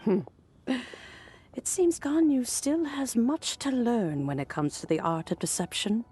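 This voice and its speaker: A woman playing a wise, gracious elderly granny in a video game, speaking in a measured, kindly, wistful manner. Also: a little high, big pitch swings, dark tone, slightly rough, exaggerated voice. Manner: measured, kindly, formal wording.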